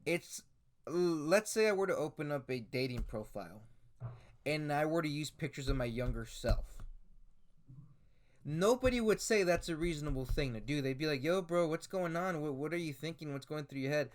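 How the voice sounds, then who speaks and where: A man's voice: fairly high pitch, animated, moderate pace. A man in his twenties giving casual commentary on screenshots and articles, hesitant, with many fillers and restarts. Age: 20s. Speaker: commentary YouTuber.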